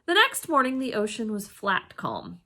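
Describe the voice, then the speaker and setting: A woman reading a children's storybook aloud in an expressive, dramatic way, with emphatic exclamations and hushed suspense. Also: medium pitch, moderate pace. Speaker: storybook reader.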